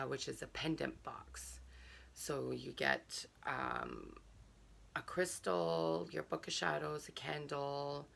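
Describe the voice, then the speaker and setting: A woman with a hoarse, gravelly voice talks slowly through an unboxing. She pauses often on fillers. Her tone is bright and sharp despite the rasp. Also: a bass voice. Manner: halting, many fillers. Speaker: subscription box reviewer. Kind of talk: casual unboxing chat, reading a card aloud.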